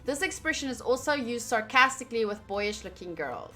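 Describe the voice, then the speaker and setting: A woman's voice: fairly high pitch, animated, brisk, sharp tone. A woman streamer reading a visual novel aloud and commenting on it, playfully reacting as she goes, with game music behind her. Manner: reacts playfully, acts out lines between reading.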